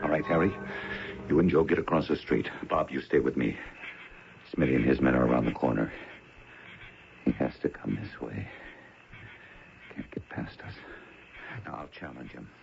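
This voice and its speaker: An actor playing a hard, commanding police chief in an old radio drama, with a gravelly, raspy voice. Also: male voice, extremely low pitch, normal speed, pauses often, slight background noise. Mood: tense, commanding. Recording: muffled old radio broadcast.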